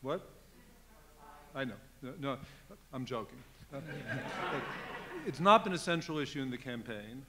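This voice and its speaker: A man's voice: medium pitch, fairly bright tone, slight background noise. A man speaking on a legal panel, rambling and joking, with lots of filler sounds. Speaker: conference panelist. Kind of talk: panel discussion.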